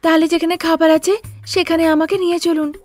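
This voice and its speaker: A woman voicing a greedy, bossy young daughter-in-law in a cartoon, loud and demanding. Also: very high-pitched, flat delivery, brisk, soft background music, voice pushed up. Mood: cheeky, bossy. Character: fat gluttonous young daughter-in-law, bossy and always hungry. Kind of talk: exaggerated cartoon storybook acting.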